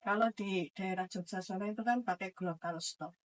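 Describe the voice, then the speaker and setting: A woman teacher, explaining informally in an interview in a didactic way, halting and often correcting herself. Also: medium pitch, a little hiss or hum.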